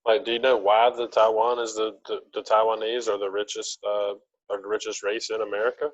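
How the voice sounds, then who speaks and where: A man hosts a casual podcast, his voice on the low side. He wanders through stories with plenty of hesitant restarts. The recording sounds dull and lo-fi. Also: flat delivery, a little fast. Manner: loose, rambling storyteller with hesitant restarts. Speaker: podcast host. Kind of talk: casual chat, rambling anecdotes.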